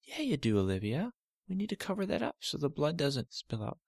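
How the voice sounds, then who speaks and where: A man doing a gently reassuring dad. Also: a little high, very animated, a little fast, vocal fry, dry recording, studio quality, pitched above the performer's own voice, exaggerated voice. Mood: coaxing. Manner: soothing, reassuring. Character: patient gentle father, adult man.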